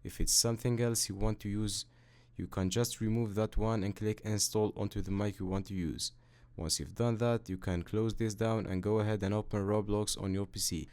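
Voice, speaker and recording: Low-pitched man narrating a tutorial. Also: steady tone, moderate pace, a little mellow. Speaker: tutorial host. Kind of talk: scripted step-by-step software tutorial narration.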